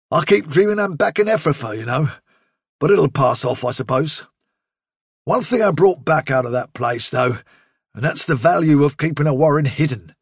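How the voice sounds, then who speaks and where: Man as a bluff British rabbit fighter, gravelly. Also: a little high, dry recording, clean studio sound, heavily acted. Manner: bluff, chatty, plain-spoken. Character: bluff, hearty male rabbit fighter, confident and chummy.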